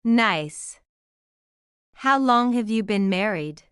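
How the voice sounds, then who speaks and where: A woman reading one side of a scripted English lesson dialogue, speaking in careful, clearly separated simple sentences. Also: mid-range voice, lively intonation, slow pace, a bit bright, no echo, clean studio sound. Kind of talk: slow scripted practice dialogue.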